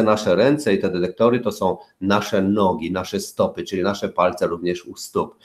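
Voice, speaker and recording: An older man speaks into a webcam in a small room, explaining slowly and deliberately with plenty of pauses. His voice sits a little low, and his manner is gentle and coaxing, like a therapist guiding listeners through an exercise. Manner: gentle, coaxing, uses diminutives. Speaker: spinal therapist. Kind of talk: webinar talk to viewers. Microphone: webcam at desk.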